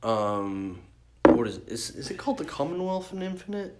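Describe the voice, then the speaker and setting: A man co-hosting a podcast in casual chat, animated and freely swearing. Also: medium pitch, normal speed, heavy background noise. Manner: profane, animated. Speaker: podcast host.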